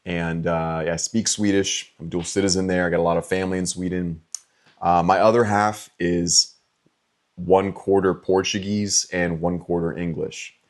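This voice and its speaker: Deep-voiced guy in his 20s, from california, laid-back chatty q&a, lots of ums. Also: normal speed. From California, USA. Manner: relaxed, chatty, frequent ums and likes. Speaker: vlogger answering fan questions. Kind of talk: casual Q&A vlog.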